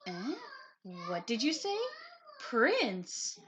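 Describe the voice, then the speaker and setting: A woman's voice: on the high side, very animated, very slow, fairly bright tone, a little hiss or hum, full character voice. A woman voicing a nosy, grumpy, scheming witch in a picture book read-aloud.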